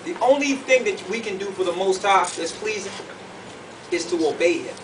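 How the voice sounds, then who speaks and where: A man with a high-pitched voice teaches like a preacher at a conference, driving points home with repetition and questions. The microphone sits well away from him, and clear background noise runs under his words. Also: normal speed. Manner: emphatic, repeats phrases, puts questions to listeners. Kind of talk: sermon, conference teaching to a group. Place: conference room with an audience. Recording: distant mic, clearly noisy room recording.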